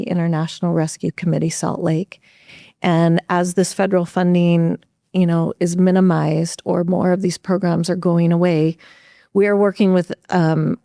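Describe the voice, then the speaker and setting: An earnest, upbeat woman explains things at length on a podcast. Her voice sits a little low and sounds mellow. The room is dry and close-miked. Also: gravelly, no room sound. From United States. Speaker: executive director of a refugee nonprofit, podcast guest. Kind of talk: conversational podcast interview.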